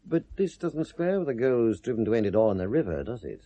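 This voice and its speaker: An actor playing a patient, probing British police detective in 1970s radio drama, with a measured, dry manner of questioning. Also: male voice, mid-range voice, very animated, dark tone. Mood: dryly probing. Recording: vintage radio drama recording.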